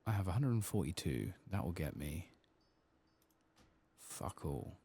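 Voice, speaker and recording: A man with a very low, creaky voice gives soft ASMR gaming commentary. He rambles casually to himself, with pitch that moves around freely, over faint noise and clicking. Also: sing-song, unhurried. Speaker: streamer playing a video game.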